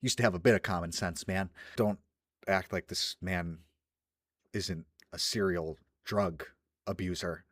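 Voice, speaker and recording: A man's voice: low voice, steady tone, unhurried, no echo, clean studio sound. A man commenting on a video in a blunt, scornful, ranting way. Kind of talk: reaction commentary.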